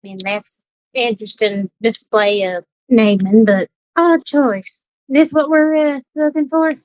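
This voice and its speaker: A woman's voice: a little high, measured pace, dry recording, muffled recording, close to an everyday voice. A woman playing a wary, plain-spoken outsider adventurer in a tabletop game, folksy, blunt and skeptical. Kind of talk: acting a character in a tabletop game.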